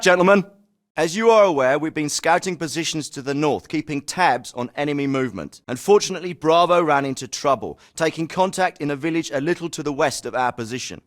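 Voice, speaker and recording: An actor voicing a stern special forces commander. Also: male voice, fairly high pitch, natural voice. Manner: measured, clipped military briefing delivery. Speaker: military commander giving briefings. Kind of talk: video game voice acting. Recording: clean studio voice recording.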